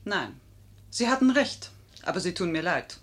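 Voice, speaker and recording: An actress playing a cunning, proud, flattering lady in a theatrical 1950s German radio play style, fervent and coaxing. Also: lowish voice, moderate pace. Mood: wounded, dignified.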